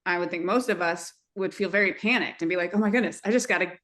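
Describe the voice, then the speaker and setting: A woman hosting a podcast, talking casually and thinking aloud in a halting, reflective way. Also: lowish voice, fairly bright tone. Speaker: podcast host. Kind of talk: casual conversational storytelling.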